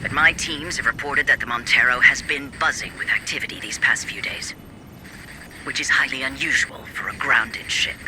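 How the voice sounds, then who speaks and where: A man playing an evasive, formal corporate director in a video game, measured but anxious to explain. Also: mid-range voice, noisy background, natural voice. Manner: formal, measured, pleading under pressure. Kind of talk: dramatic video game acting.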